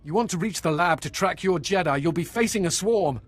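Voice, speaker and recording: An actor playing a deferential, efficient imperial liaison officer in a video game, formal and polite in manner. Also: male voice, high voice, animated, fairly quick. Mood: grave, matter-of-fact.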